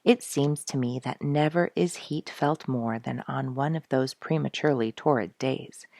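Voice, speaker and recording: A woman narrating a storybook chapter aloud with expressive, dramatic emphasis. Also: extremely low pitch, moderate pace, creaky, dry recording, clean studio sound.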